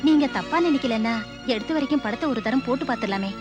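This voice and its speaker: Woman acting an anxious, high-voiced coaxing wife. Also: animated, quick pace, music in the background, natural voice. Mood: pleading advice. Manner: coaxing, tentative, respectful. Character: anxious, deferential young wife of a film producer. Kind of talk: Tamil television serial acting.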